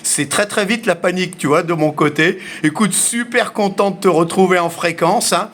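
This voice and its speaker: A man's voice: high voice, moderate pace, fairly bright tone. A man chatting casually as an amateur radio operator, speaking informally and hesitantly. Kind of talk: casual radio contact chat. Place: home radio shack in Asia. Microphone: radio station microphone.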